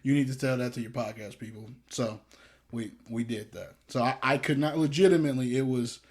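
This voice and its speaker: A man's voice: mid-range voice, brisk, darkish tone. A young man co-hosting a podcast, talking casually in a rambling, hesitant way with self-deprecating jokes. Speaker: podcast co-host. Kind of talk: casual chat.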